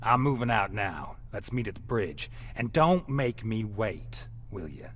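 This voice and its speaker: An actor playing a cocky, foul-mouthed, wisecracking survivor in a video game, mocking and swaggering. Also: male voice, on the low side, very animated, unhurried, fairly bright tone, slight background noise. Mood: taunting, jaunty. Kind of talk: video game cutscene acting.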